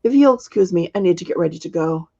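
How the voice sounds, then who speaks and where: A woman voicing a brisk, decisive, matter-of-fact woman magician in a storybook reading. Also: fairly low pitch, lively intonation, mellow, muffled recording. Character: brisk, capable woman magician taking charge.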